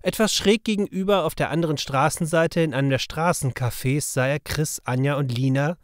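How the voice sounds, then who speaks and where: A man's voice: fairly high pitch, brisk, no echo, studio quality. A man narrating a children's audio drama in a scripted storytelling style.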